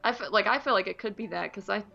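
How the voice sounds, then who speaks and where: Woman just chatting movies on a podcast, bright voice, kinda muffled audio with faint music behind. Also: mid-range voice, quick pace, gravelly. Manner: informal, rambling, frequent "like". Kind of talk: casual chat reviewing films.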